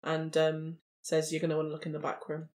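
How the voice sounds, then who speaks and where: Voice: mid-range voice, completely flat delivery, brisk, darkish tone. A tabletop roleplay player improvising story details in a casual, chatty way. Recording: roomy, echoing sound.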